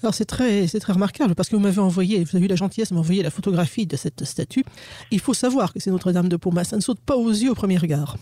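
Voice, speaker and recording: Lady radio host, formal and measured, mellow voice, dry close sound. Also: mid-range voice, dry recording. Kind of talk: radio interview.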